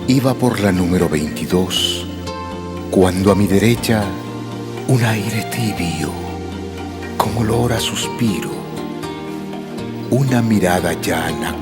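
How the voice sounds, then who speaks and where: Male poetry reciter, very low and unhurried, tender declamation over clear background music. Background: new-age music.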